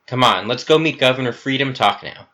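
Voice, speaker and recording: A man's voice: mid-range voice, brisk, sharp tone, voice pushed up. Man reading as a self-assured, breezy rebel leader. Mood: breezy, chummy.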